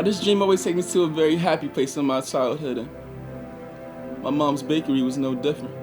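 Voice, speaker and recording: An actor playing an anguished, regretful 21-year-old prisoner in a confessional stage monologue with dramatic pauses. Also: male voice, fairly high pitch, natural voice. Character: anguished 21-year-old male prisoner, former track star, bitter and regretful.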